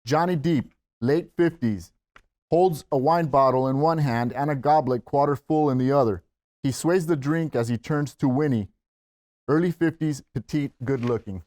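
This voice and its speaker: A man's voice: medium pitch, normal speed, dark tone. A man hosting a table read, welcoming the group and narrating screenplay stage directions.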